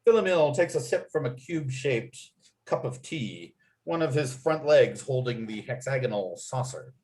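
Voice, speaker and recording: A man's voice: mid-range voice, animated, moderate pace, darkish tone. A man acting as narrator at a table read, reading out script and stage directions.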